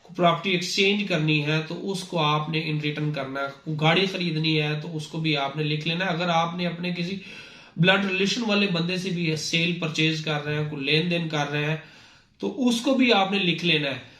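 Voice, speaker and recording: A man's voice: on the high side, moderate pace, bright, forceful tone. A man with a Pakistani accent giving an informal explanatory lecture, didactic and repeating his points as a presenter. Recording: echoey, reverberant room sound.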